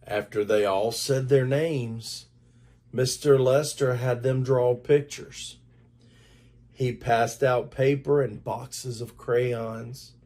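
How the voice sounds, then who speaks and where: A man narrates a storybook for young children at a very slow pace. His delivery is deliberate and expressive, with a middling pitch. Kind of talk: scripted story narration read aloud to children.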